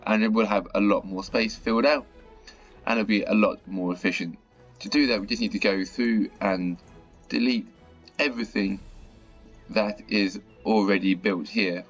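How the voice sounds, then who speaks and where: Croaky man narrating gameplay, low voice. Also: steady tone, normal speed. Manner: chatty, mildly exasperated at stuck buses. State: croaky with a sore throat. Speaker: gaming channel host. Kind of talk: casual gameplay commentary. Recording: dull lo-fi audio with faint music.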